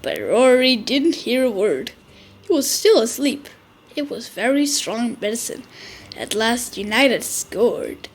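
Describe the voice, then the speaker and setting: Slow, high-voiced woman storyteller. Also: sing-song, slightly rough. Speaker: children's storyteller. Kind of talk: reading a picture book aloud for kids.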